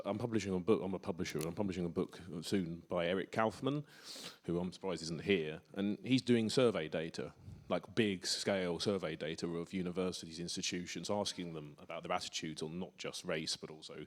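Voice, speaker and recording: A man with a lowish voice asks a long question from the floor of a conference. He talks quickly and urgently, stumbling over restarts as he builds his point. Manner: rapid, urgent, rambling with restarts. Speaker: audience member asking a question.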